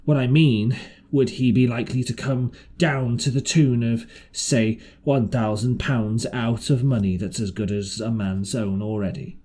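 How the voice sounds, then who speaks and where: A man's voice: mid-range voice, steady tone, unhurried, dark tone, husky. A man voicing a shrewd, half-crazed marooned seaman in an audiobook, with rustic, ungrammatical, repetitive sailor talk. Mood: shrewd, probing. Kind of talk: audiobook character voice.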